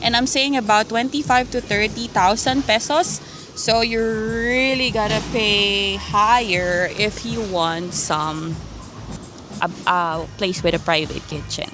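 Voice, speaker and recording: A woman's voice: medium pitch, slow. Filipino woman vlogging casually over background music. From Philippines (Manila). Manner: informal, rambling, slangy. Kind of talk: casual chatty personal vlog. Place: quiet empty cafe.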